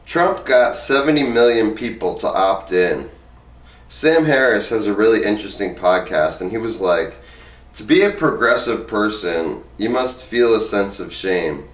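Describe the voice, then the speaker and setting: A man's voice: on the low side, animated. A man reading aloud and commenting casually, with an informal way of talking. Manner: informal, rambling, halting when speaking off the cuff. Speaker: host reading book excerpts aloud and commenting. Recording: roomy echo, distant from the mic.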